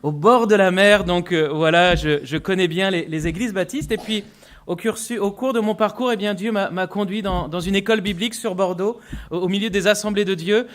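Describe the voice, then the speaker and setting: A man from Brittany preaching as a guest pastor in a church, telling his testimony with frequent repeated words and earnest pauses. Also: high-pitched. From Brittany, France. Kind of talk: sermon with personal testimony. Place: church sanctuary with mezzanine.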